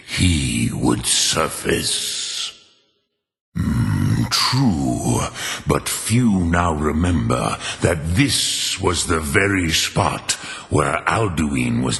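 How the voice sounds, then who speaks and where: A man playing an ancient, wise dragon elder in a fantasy game, speaking in a solemn, deliberate and formal manner with a gravelly voice. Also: extremely low pitch, a bit bright, full character voice. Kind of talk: fantasy game character acting. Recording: long cavernous reverb.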